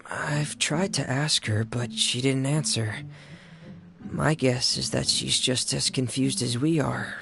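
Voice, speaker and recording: A man voicing a quiet, observant teenage boy in a video game, speaking in a subdued, measured and hesitant way. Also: medium pitch, steady tone, vocal fry. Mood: subdued, thoughtful. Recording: game dialogue under prominent music.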